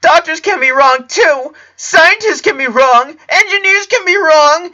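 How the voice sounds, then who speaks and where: A man voicing an anxious young boy, a loyal best friend, with a high, strained voice in a story reading. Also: animated, unhurried, pushed and bright, dull audio, heavily acted. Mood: panicked.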